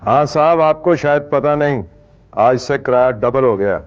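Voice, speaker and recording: An actor playing a cocky, hopeful horse-cart driver in a television drama, speaking colloquially with a Punjabi accent in a jaunty, sardonic way. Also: male voice, medium pitch, moderate pace, dry recording, lo-fi sound, natural voice. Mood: taunting, defiant. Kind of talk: colloquial television drama acting, musing aloud.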